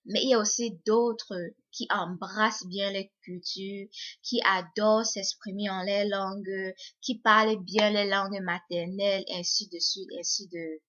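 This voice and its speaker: A woman's voice: mid-range voice, fairly even intonation, measured pace, fairly bright tone. A young woman, vlogging to her viewers in a hesitant way, often repeating words and restarting. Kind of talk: casual monologue to viewers. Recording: slightly muffled lo-fi audio.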